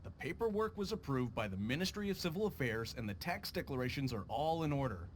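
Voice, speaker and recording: A man's voice: mid-range voice, big pitch swings, brisk, lightly acted. A man voicing a dutiful, formal inspection officer in a video game. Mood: baffled, reporting. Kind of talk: scripted game dialogue. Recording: faint background noise.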